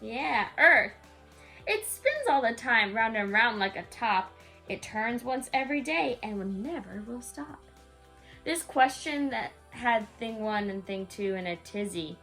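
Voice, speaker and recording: A woman's voice: fairly high pitch, expressive, unhurried, bright, forceful tone, soft background music. A woman reading a rhyming bedtime story aloud to a young child, in a sing-song way with casual asides.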